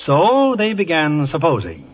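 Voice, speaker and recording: An American man presents a radio drama in 1950s fashion, heard on a dull old broadcast recording. His voice sits fairly high and moves with lively intonation. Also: unhurried.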